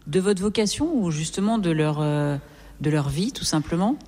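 A woman interviewer asking gentle questions, prompting and rephrasing her guest's words. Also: fairly low pitch, animated, moderate pace. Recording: long hall reverb.

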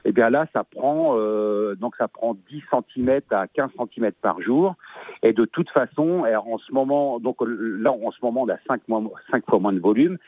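A Belgian man in his fifties, a farmer guest on a radio show, talking haltingly with many fillers and restarts. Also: mid-range voice, dry recording. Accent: Belgian French. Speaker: asparagus grower guest. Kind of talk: radio interview, casual chat. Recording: tinny phone line.

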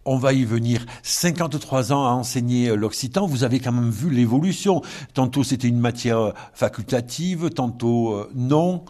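A man's voice: medium pitch, moderate pace, dark tone. A male interviewer asking questions in a hesitant way, with frequent fillers.

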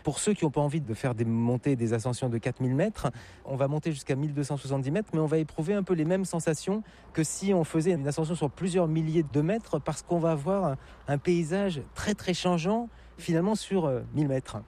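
A man's voice: on the high side, brisk, mellow. A man hosting a travel radio interview, asking friendly, teasing questions. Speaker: radio host interviewing a guest.

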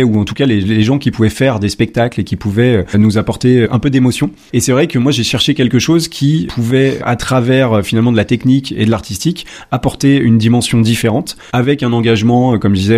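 A French man in his forties, a company founder answering interview questions in a measured way with many hesitations. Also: mid-range voice, darkish tone. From France. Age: 40s.

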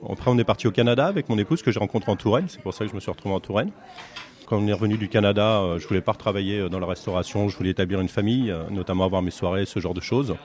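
A man's voice: lowish voice, rapid-fire, a little mellow. A middle-aged French man who owns a café, chatting casually in an interview with many fillers and restarts. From France. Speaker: café owner.

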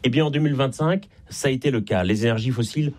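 A man's voice: medium pitch, quick pace, a little mellow. A man with a Belgian French accent explaining things in an articulate, didactic way as an expert guest in a radio interview. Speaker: guest expert and author on energy transition.

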